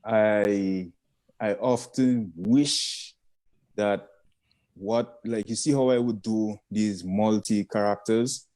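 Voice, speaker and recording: A man's voice: on the low side, steady tone, slow pace, warm tone. A young man with a Caribbean accent, a guest comedian chatting casually and rambling as he searches for words. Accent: Caribbean English. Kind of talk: casual chat.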